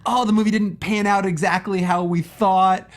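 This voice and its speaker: A man co-hosting a casual film review, rambling sarcastically with animated asides. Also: high voice, normal speed. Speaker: co-host.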